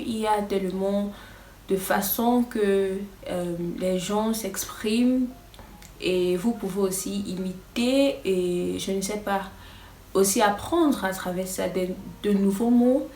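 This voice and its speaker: Une femme anglophone, voix lointaine dans une pièce résonnante. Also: female voice, mid-range voice, darkish tone, echoey room, distant mic, slight background noise. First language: English. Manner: hesitant, many filler pauses, nervous. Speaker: English teacher and YouTuber. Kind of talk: casual vlog-style lesson.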